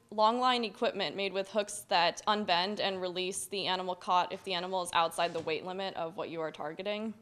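Young woman with a smooth, clear voice. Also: medium pitch. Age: young adult. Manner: earnest, steady recommendations with occasional restarts. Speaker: student presenter. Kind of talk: academic presentation. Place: lecture hall, speaking at a podium.

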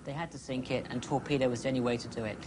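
Man, thirties, medium pitch, conversational historian interview, noticeable noise. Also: steady tone, very fast.

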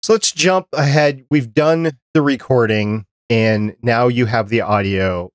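Low-voiced middle-aged man hosting a podcast. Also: no room sound, studio quality. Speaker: podcast host, interviewer. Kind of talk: conversational interviewing. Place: small home studio room, indoors. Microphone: close desk microphone with headphones.

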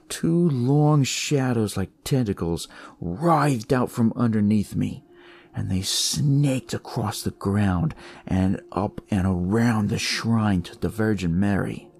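A man narrating dramatic noir horror in the first person, with a deliberate, brooding delivery. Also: fairly low pitch, gravelly, darkish tone, a little hiss or hum. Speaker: storyteller reading in first person as a detective. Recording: music behind the voice.

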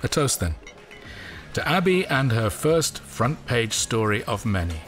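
A man's voice: medium pitch, big pitch swings, a little slow, fairly bright tone, faint music behind. An actor playing a modest, mild-mannered man in an audio drama, speaking politely and a little hesitantly. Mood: mild, content.

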